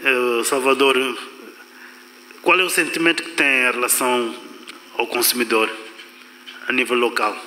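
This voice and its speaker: A man's voice: medium pitch, steady tone, a little slow, long pauses, bright, forceful tone. A man with a Mozambican accent moderating a panel, putting questions to guests and rephrasing them as he goes. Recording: reverberant room with background noise.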